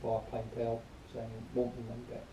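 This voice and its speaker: A man giving an informal spoken review in a rambling, matter-of-fact, grumbling way, partly reading aloud, recorded quietly on a camera some distance away. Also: medium pitch, monotone, normal speed. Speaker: product reviewer. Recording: distant quiet mic, roomy echo, faint noise.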